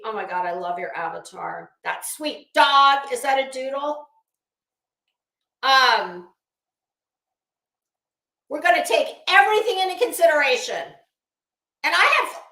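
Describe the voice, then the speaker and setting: A woman's voice: high-pitched, bright, forceful tone, echoey room, low-quality recording. A woman doing casual livestream commentary as host, blunt, salty and emphatic, with a disdainful edge.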